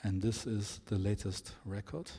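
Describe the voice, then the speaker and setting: A man's voice: on the low side, steady tone, unhurried, dark tone, breathy edge. A man moderating a panel, introducing the guests hesitantly with frequent fillers. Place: large exhibition hall. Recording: long hall reverb.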